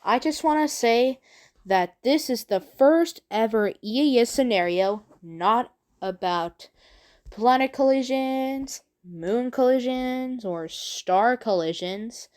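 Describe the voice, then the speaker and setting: Slow, halting woman talking casually. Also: a little high, darkish tone. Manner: halting, rambling, repeats phrases. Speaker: video creator introducing own work. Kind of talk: casual unscripted vlog talk.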